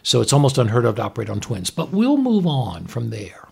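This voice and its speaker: A man's voice: medium pitch, wildly swooping pitch, brisk, creaky, warm tone, husky. A man who is a doctor co-hosting a medical talk, explaining things in a plain-spoken, frank and emphatic way. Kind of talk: explanatory commentary in conversation.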